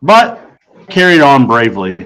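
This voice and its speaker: A man's voice: medium pitch, huge pitch swings, measured pace, pushed and bright, poor audio. Man reading a first-person story. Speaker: audiobook reader.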